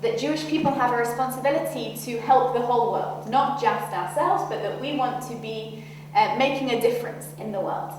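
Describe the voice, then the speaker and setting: A woman's voice: fairly high pitch, fairly quick, a little mellow, a little hiss or hum. A woman youth leader addressing a group, earnest and emphatic. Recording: echoey room, far from the mic.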